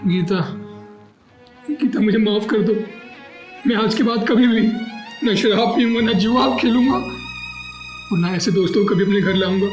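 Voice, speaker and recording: A man's voice: sits high, measured pace, pauses often. A man playing a weak, defensive drunkard husband who gambles, in a melodramatic moral-story drama. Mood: remorseful pleading. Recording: roomy echo with music behind.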